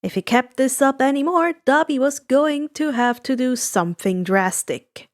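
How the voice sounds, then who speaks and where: A woman's voice: sits high, normal speed. A woman narrating fan fiction from a script as a storyteller.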